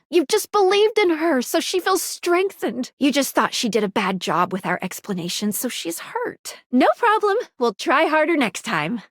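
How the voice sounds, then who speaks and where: A perky video game guide, a living pair of magic glasses with a high, girlish pitch. The delivery is quirky and earnest, swinging widely in melody, and recorded close and dry. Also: no room sound. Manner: quirky, expressive, chatty, with cute interjections.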